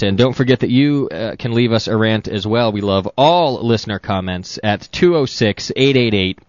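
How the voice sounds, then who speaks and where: A man's voice: lowish voice, no room sound. A man hosting a casual comedic talk show, laughing often and teasing his co-host. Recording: dull lo-fi 2000s recording, dry.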